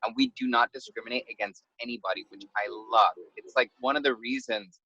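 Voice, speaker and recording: Mid-pitched man, dry sound, panel guest. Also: a little fast, pushed and bright, breathy edge, no echo, muffled recording. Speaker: panel guest, band member. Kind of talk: casual unscripted panel talk.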